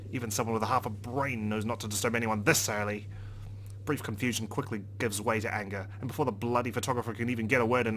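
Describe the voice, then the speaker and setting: A man's voice: fairly low pitch, fairly bright tone, rough recording. A man reading visual novel narration aloud as a let's player. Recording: clear background noise and hum.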